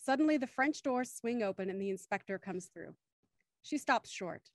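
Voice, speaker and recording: A woman's voice: fairly high pitch, animated, moderate pace, no echo, clean studio sound. A woman reading scripted scene narration for an audio drama. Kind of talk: scripted narration of stage directions.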